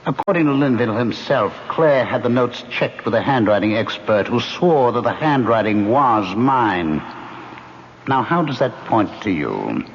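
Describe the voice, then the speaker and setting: Smug male radio-mystery villain: persuasive, mocking, very expressive pitch, dull vintage sound with faint hiss. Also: measured pace. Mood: smug, taunting. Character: smug, scheming man coolly goading an accomplice into murder. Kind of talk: old-time radio mystery acting. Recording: muffled, noisy old radio drama recording.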